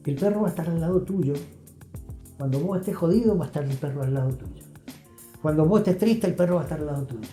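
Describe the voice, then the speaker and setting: A man's voice: a little high, fast, dark tone. A man with an Argentine accent giving advice conversationally as an interview guest, emphatic and repeating phrases for effect. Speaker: interview guest, health professional. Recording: roomy echo, music behind.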